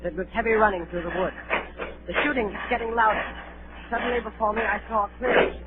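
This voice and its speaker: A man's voice: sits high, fast, very noisy, natural voice. An actor playing an earnest boy adventurer, telling his perilous tale in clipped, dramatic 1930s radio narration. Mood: urgent. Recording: tinny 1930s radio broadcast.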